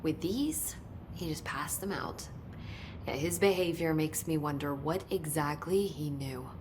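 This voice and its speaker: A woman's voice: low-pitched, measured pace, background noise. A woman playing an eager, talkative research scientist in a video game, explaining technical details keenly. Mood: enthusiastic.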